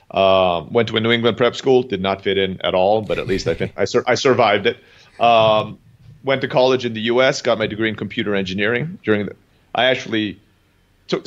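A man in his thirties who grew up in Turkey, a guest telling his story in a chatty way, with lots of "um" and "you know". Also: fairly low pitch. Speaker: guest entrepreneur. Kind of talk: casual interview talk. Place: home in Mexico. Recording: dull lo-fi call audio.

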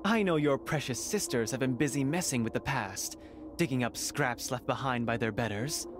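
An actor playing an arrogant, mocking noble heir in a video game, with theatrical, smug sarcasm. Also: male voice, medium pitch, moderate pace, background noise, natural voice. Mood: condescending.

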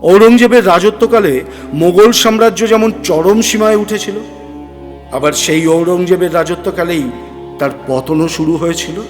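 High-pitched male storyteller in long reverb. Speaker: story narrator. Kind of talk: dramatic scripted audio storytelling. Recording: long reverb, music bed.

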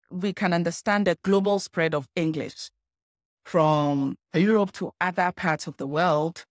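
A woman from Ghana, a scholar answering interview questions in a measured, explanatory way. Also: low voice, dry recording, clean studio sound. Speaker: guest scholar.

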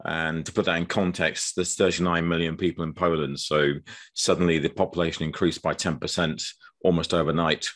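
Older English guest author, very low voice, measured video-call explainer. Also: male voice, little pitch movement, a bit bright. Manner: measured, explanatory, with frequent ums. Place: dim room indoors.

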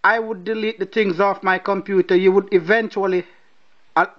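A man with a Nigerian accent speaks in a fairly high voice, slow and deliberate, blunt and mocking. The recording is dull and lo-fi. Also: fairly even intonation. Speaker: person baiting a scam caller. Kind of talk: confrontational phone call.

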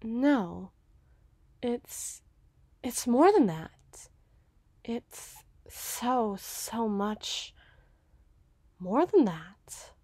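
A woman's voice: fairly high pitch, sing-song, drawn-out words, long pauses, dark tone, dry recording, full character voice. A woman playing a scheming, obsessive, smitten witch in an ASMR roleplay, speaking theatrically in old-fashioned phrases. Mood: brooding infatuation. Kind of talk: ASMR roleplay audio drama.

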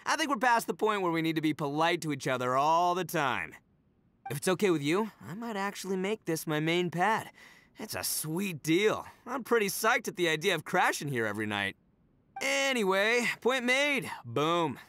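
Casting: exuberant young oni gang leader for a video game, high bright voice, cocky and slangy, music underneath. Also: male voice, big pitch swings, normal speed, no room sound. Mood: easygoing, upbeat. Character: brash, carefree, boastful young male oni gang boss. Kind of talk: English video game dub acting.

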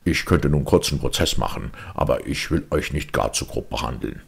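Man as a gruff, very deep farmer. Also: animated, normal speed, heavily acted. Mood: mocking, stern. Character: gruff adult male farmer, stern and mocking.

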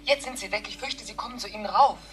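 A woman's voice: mid-range voice, fast, faint music behind, natural voice. An actress playing an anxious, helpful and polite female front-desk clerk in a radio play. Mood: worried. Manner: polite, concerned.

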